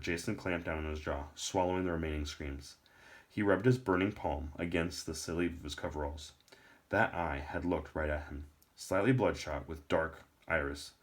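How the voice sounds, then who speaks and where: A man narrating a novel aloud in a storybook read-aloud style. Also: deep voice.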